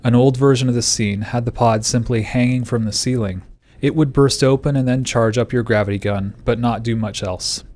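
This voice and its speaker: A man's voice: mid-range voice, darkish tone. A man with a mid-range voice reads prepared commentary. He explains design choices as a game developer.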